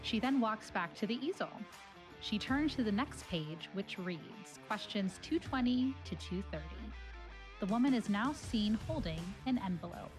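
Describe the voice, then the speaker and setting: Plucked guitar plays clearly behind a woman with a mid-pitched voice. She reads scripted stage directions, narrating the action of an audio play. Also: lively intonation, moderate pace. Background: plucked guitar music.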